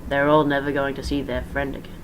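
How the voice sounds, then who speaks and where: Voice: medium pitch, level tone, fast talker. An amateur narrator giving a dramatic story reading, informal, stumbling over words and correcting along the way. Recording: faint background noise.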